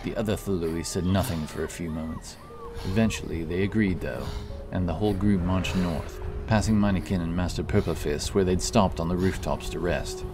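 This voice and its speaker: A man narrating a fantasy audiobook in a steady, dramatic storytelling manner. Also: deep voice, normal speed, hardly any pauses. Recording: with loud music behind.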